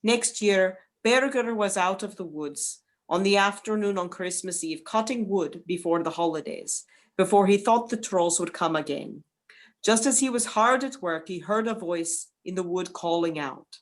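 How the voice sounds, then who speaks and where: A woman serves as narrator of a folktale radio play. Her voice is of average pitch for a female. She reads scripted prose in a dramatic storytelling manner. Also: moderate pace.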